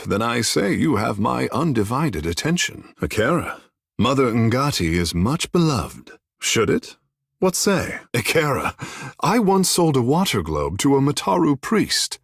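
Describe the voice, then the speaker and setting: Husky, theatrical young shark-folk charmer. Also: male voice, medium pitch, dry recording, clean studio sound, full character voice. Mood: self-assured, then playful, flamboyant. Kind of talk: video game voice acting.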